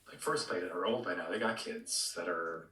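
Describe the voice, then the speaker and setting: A man co-hosting a casual discussion in a halting way, repeating words as he thinks. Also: low-pitched, sharp tone. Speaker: podcast co-host. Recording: roomy echo, distant from microphone.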